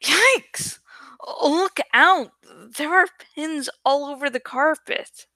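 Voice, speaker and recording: A woman voicing a brisk, jumpy teenage girl heroine in a storybook reading, terse and exclamatory. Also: sits high, pushed and bright, no echo, heavily acted. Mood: startled.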